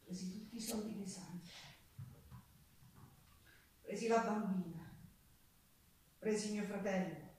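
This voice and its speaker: A woman's voice: medium pitch, takes it slowly, long pauses, darkish tone, a little hiss or hum, natural voice. An actress playing a defiant, impoverished woman with a Sicilian accent, in an impassioned, earthy theatrical monologue. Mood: bitter, weary. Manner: impassioned, earthy, emphatic repetition. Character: defiant, impoverished Sicilian woman telling her life story. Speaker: stage actress and singer. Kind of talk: theatrical monologue with folk singing. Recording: echoey theatre stage, distant microphone. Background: live acoustic guitar.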